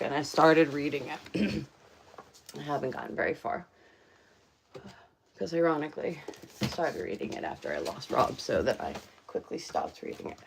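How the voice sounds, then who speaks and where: A woman's voice: low voice, background noise. A woman casually chatting on a live stream from her home, rambling with many fillers. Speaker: livestream host. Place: home room.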